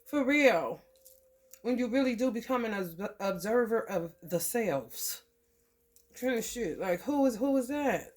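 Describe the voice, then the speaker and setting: A woman from the American Midwest giving a loose, profane tarot reading. Her throat is scratchy from allergies, and she keeps clearing it and laughing between thoughts. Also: on the high side. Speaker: tarot reader. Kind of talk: casual spiritual reading to camera.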